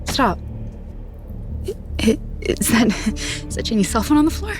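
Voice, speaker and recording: A woman acting in an audio drama as an anxious, sharp-tongued young female friend. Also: a little high, sing-song, unhurried. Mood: alarmed. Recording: music under dramatic dialogue.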